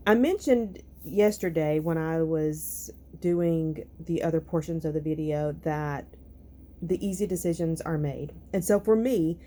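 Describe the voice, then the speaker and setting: A woman with a raspy, nasal, hoarse voice, talking through her crafting clean-out in an unhurried, thinking-aloud vlog. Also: low voice, mellow. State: recovering from illness, hoarse. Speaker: crafting vlogger. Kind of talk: casual vlog talk and voiceover.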